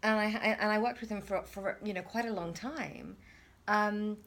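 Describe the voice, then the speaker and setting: A woman's voice: mid-range voice, moderate pace, a bit bright. A middle-aged woman hosting a reflective conversation, talking hesitantly with many restarts and fillers. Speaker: interview host.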